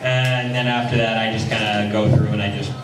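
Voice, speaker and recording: Lowish-voiced man in a very echoey hall. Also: little pitch movement, a little fast. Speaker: convention panelist. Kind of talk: casual panel talk. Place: large echoing convention panel room. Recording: echoey hall, distant mic, music behind.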